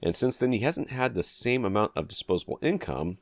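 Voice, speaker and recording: Deep male podcast co-host, animated delivery, reads listener mail, dry booth sound. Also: brisk, dry recording. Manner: reads listener emails aloud, joking asides. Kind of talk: casual podcast chat.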